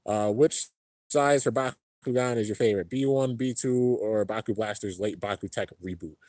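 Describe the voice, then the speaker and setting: A man's voice: normal speed, darkish tone, dry recording. Young man, laid-back livestream host, rambling fillers, a bit congested, dry room. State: a little congested. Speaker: YouTube host reading live chat. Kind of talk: casual livestream chat. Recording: low-end home stream setup.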